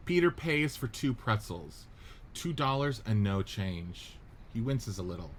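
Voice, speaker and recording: Brief: male narrator, low-ish voice, plain steady reading of scene directions. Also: unhurried, slight background noise. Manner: steady, matter-of-fact reading.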